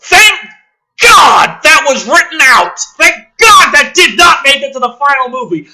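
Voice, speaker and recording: A man with a very bright, forceful voice delivers an exasperated commentary on a script. His pitch is lively and swings widely as he rants. He swears constantly and jumps from muttering to shouting. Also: measured pace, creaky. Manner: heavily profane, exasperated; swings between muttered reading and shouted outbursts. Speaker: host of a gaming and film commentary channel. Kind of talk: ranting script read-through commentary.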